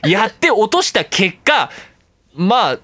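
A man's voice: high-pitched, takes it slowly, slightly rough, dry recording. A man hosting a casual comedic radio talk, telling stories in a self-mocking, joking way and acting out bits of dialogue. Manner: animated storyteller, acting out dialogue and game sounds. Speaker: radio talk show host. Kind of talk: comedic casual monologue about games.

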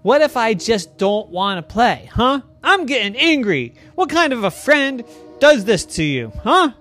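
A parent telling a bedtime story, voicing Becky, a fed-up female basketball hoop who complains in quick indignant questions. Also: medium pitch, sing-song, a bit bright, soft background music.

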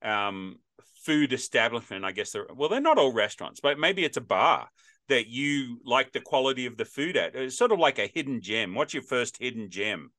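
A man's voice: mid-range voice, sing-song, moderate pace, bright, forceful tone. A man in his fifties hosting a podcast interview, chatting in a rambling, storytelling way with frequent restarts. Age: 50s. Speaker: podcast host. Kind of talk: casual interview chat.

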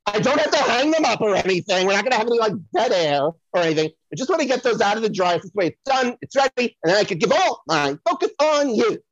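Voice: mid-range voice, sing-song, fast talker, few pauses, dry recording. Scatterbrained celebrity psychic, played by an actor, theatrical and rambling, swooping intonation, slightly muffled lo-fi sound. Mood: chatty, distracted. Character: scatterbrained, self-promoting psychic to the stars, easily distracted. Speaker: psychic guest on a podcast. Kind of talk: comic improvised audio sketch.

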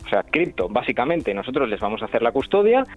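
A man's voice: medium pitch, big pitch swings, fast talker. A man speaking as a company guest in a radio interview, hesitant and often repeating words. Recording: phone-like narrow sound.

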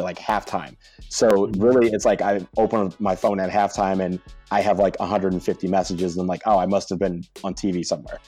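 An American man talking casually as a podcast guest, telling animated stories and laughing often. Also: deep voice, no echo. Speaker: podcast guest, soccer supporter leader. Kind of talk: casual conversation.

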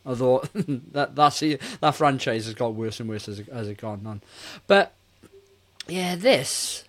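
A man's voice: mid-range voice, animated, measured pace. A man in his forties co-hosting a casual film review podcast, speaking in a blunt, exasperated way. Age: 40s. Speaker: podcast co-host.

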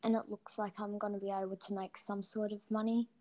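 Young woman gamer, guest, halting, lots of ums; cheap mic sound. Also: medium pitch, flat delivery, mellow. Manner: hesitant, many ums and trailing sentences. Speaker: interview guest, gaming YouTuber. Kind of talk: casual chat. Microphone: cheap built-in mic.

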